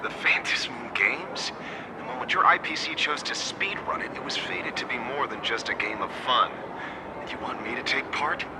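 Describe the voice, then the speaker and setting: An actor voicing a wily older agency boss in a video game, smooth-talking and theatrical. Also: male voice, mid-range voice, moderate pace, very noisy, lightly acted. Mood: wry, weary. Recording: game music underneath.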